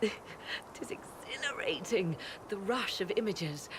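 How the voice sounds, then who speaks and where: A woman's voice: medium pitch, lively intonation, unhurried, lightly acted. An actress playing a proud, scholarly sorceress in a game cutscene, savouring her words in a theatrical way. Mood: awed, exhilarated. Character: enraptured scholarly sorceress, proud and elegant woman. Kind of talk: dramatic video game cutscene acting. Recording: faint background noise.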